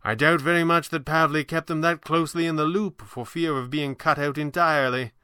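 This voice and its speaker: Storybook elderly sorcerer, male narrator performing: raised pitch, crisp, courtly showman, gently amused. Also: fairly even intonation, no echo, studio quality. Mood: thoughtful. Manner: courtly, theatrical, drawn-out. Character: gentle, amused old stage-magician sorcerer, courtly and theatrical.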